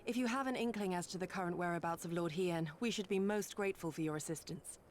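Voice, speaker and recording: Woman as a low, formal, courteous traveller. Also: fast, slight background noise, close to an everyday voice. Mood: courteous request. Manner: polite, formal, reserved. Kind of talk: fantasy game character dialogue read aloud.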